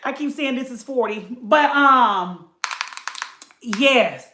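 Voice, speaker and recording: A woman in her late thirties with a fairly high voice talks viewers through a budget beauty haul. She chats casually and calls them y'all. The sound is roomy and echoey. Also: slow pace, slight background noise. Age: late 30s. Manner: chatty, animated, addresses viewers as y'all. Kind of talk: casual haul chat to camera.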